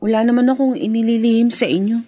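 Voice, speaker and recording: A woman's voice: on the high side, fairly even intonation, quick pace, warm tone, soft background music, lightly acted. An actress playing an evasive, sweet-talking young woman in a Filipino radio drama, with a Filipino accent. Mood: defensive, evasive.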